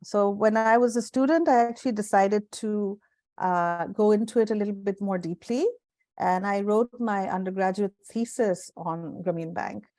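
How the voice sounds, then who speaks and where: A woman's voice: medium pitch, moderate pace, a little mellow, no room sound. A middle-aged woman speaking as an interview guest over a video call, thoughtful and full of fillers and restarts. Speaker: guest, executive director. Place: home room indoors. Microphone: earphone headset on video call.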